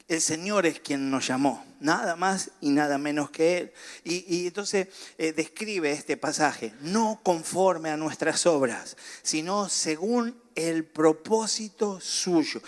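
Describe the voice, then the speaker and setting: A middle-aged male pastor with a Rioplatense accent and a somewhat high voice. He talks to his congregation in a loose, joking way, then climbs into fervent preaching. A slight cough catches in his throat now and then. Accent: Rioplatense Spanish. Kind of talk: sermon.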